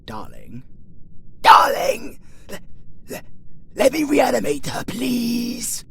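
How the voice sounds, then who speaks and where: Wicked, lustful British necromancer for audio roleplay: theatrical, gravelly creak, drawn-out delivery, noisy ambient recording. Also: male voice, very high-pitched, huge pitch swings, heavily acted. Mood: frantic pleading. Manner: theatrical, swings between hushed murmurs and shouted incantations. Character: gleefully wicked, lustful male necromancer raising the dead. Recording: clearly noisy ambient background.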